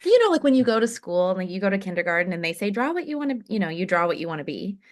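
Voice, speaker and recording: A woman's voice: medium pitch, sing-song, rapid-fire. A woman in her thirties, a jazz singer, chatting in a podcast interview, with lots of fillers and easy laughs. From Oklahoma, USA. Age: 30s. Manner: chatty, warm anecdotes with many fillers and laughs.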